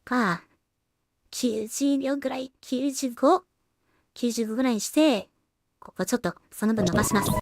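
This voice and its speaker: A woman's voice: a little high, moderate pace, long pauses. A woman giving casual production commentary, thinking aloud as she works as a solo animation creator and voice actor.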